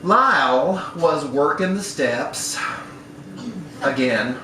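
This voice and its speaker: A man's voice: fairly high pitch, lively intonation, very slow, pushed and bright. A man giving a wry live reading of a literary short story, performed as a storyteller. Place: bar room at a live event. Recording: echoey, noisy live room, distant mic.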